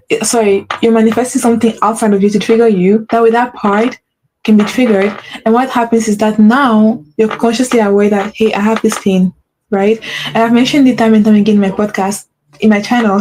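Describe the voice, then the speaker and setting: A woman with a mellow, soft-edged voice talks straight to her listeners as a spiritual coach, circling back over the same points and asking "right?" as she goes. She mentions a stutter and corrects herself often. There is a faint hiss behind her. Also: mid-range voice, fairly even intonation, fairly quick, rough recording. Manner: earnest, repetitive, with frequent "right?" check-ins and self-corrections. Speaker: podcast host and spiritual coach. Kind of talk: solo motivational talk.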